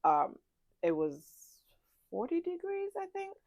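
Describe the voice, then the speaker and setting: A woman's voice: very high-pitched, huge pitch swings, slow pace, no room sound, muffled recording. A woman with a congested, stuffy voice chatting casually as a travel vlogger, rambling with many ums and restarts. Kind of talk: casual packing chat to camera. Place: ship cabin.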